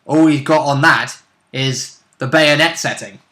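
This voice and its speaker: Bright, crisp-edged male co-commentator. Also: on the high side, measured pace. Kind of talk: casual chat commentary.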